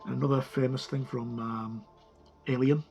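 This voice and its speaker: A man giving a casual, informal review as he leafs through a book, halting as he goes and muttering the odd aside. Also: mid-range voice, fairly even intonation, gravelly, a little mellow, faint music behind. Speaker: tabletop game book reviewer. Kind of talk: casual flip-through review with read-out passages.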